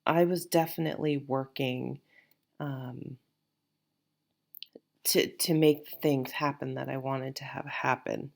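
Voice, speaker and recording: A woman's voice: a bass voice, steady tone, takes it slowly, creaky, pauses often. A woman giving a casual tarot reading, a bit nasal and sniffly from allergies, rambling and reassuring. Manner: rambling, reassuring, many restarts and fillers. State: sniffly, stuffed-up. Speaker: tarot reader.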